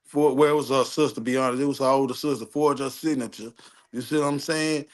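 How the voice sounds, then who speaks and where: Male conversational storyteller, average pitch, loose slangy delivery, swears often, repeats phrases for emphasis. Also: fairly even intonation, quick pace. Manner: street slang, profane, repetitive, seeks agreement. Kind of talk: casual chat, storytelling.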